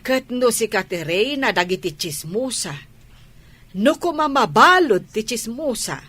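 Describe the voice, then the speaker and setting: A woman playing an excitable, fretful middle-aged village mother in an Ilocano radio comedy drama, with exclamations and drawn-out scolding. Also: a little high, breathy edge, dry recording, full character voice. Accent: Filipino, Ilocano.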